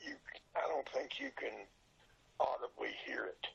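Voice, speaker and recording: An older man with a hoarse, breaking voice, talking earnestly and haltingly as a guest in a casual interview. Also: high voice, wildly swooping pitch, fairly bright tone, whispered, lo-fi sound. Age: older, 60s or above. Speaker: podcast guest, radio show collector.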